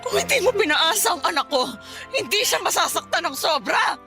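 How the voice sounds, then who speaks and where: A woman's voice: very high-pitched, sing-song, a little fast, soft background music, heavily acted. An actress playing a grieving middle-aged mother in a Tagalog radio drama, tearful and accusing as she lashes out. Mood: anguished accusing. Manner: tearful, accusing, shouting outbursts. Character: grieving, furious middle-aged mother blaming a young woman.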